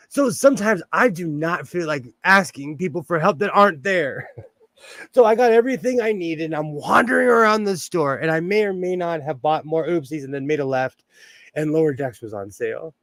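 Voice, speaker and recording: A man's voice: fairly high pitch, lively intonation, moderate pace, fairly bright tone, no room sound. Chatty Southern Californian man. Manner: rambling, chuckles often, greets viewers by name. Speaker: livestream host. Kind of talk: casual chat while sewing, reading chat.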